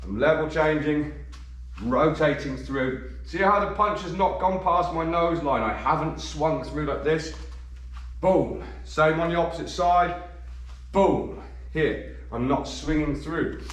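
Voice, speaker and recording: A man, a bit croaky from a cold, coaching boxing and counting punches as he demonstrates. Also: on the high side, slow pace, a bit bright. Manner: demonstrates moves while talking, counting punches. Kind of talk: instructional demonstration. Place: gym. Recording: echoey gym, voice from a distance.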